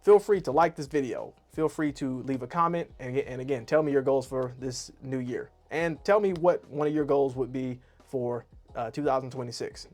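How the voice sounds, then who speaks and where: A man's voice: mid-range voice, normal speed, darkish tone, faint music behind. A man reviewing his year to camera in a casual, rambling, self-deprecating way. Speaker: YouTube artist and animator.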